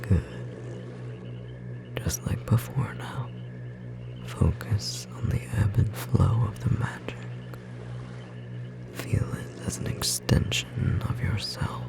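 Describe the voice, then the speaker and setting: A performer voicing a tender magical bookstore clerk in an ASMR roleplay, whispering softly and gently encouraging a beloved partner, with a slightly rough edge. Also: low-pitched, slow, long pauses, over music, heavily acted. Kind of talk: ASMR roleplay, intimate whispered second-person acting.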